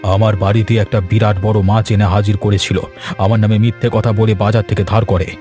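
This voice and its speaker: A man voicing a worried village gentleman in a cartoon, with a weary, grumbling but authoritative manner. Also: on the low side, flat delivery, brisk, faint music behind, lightly acted. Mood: worried, exasperated. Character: worried, exasperated male village gentleman, master of the house. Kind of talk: Bengali cartoon dialogue acting.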